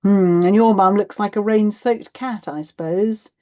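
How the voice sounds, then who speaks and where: A woman's voice: mid-range voice, big pitch swings, fairly quick, darkish tone, muffled recording. Woman voicing a weary, blunt hard-up mum. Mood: hurt, defensive. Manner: sighing, blunt, matter-of-fact. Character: weary, hard-up single mum, worried but firm. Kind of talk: acted children's audiobook dialogue.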